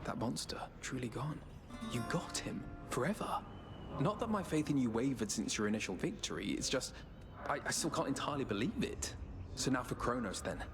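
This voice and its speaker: A man's voice: medium pitch, sing-song, brisk. A man voicing an easygoing, encouraging young god in a video game, speaking in casual, informal phrases. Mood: relieved, encouraging. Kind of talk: scripted video game voice acting. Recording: music behind the voice.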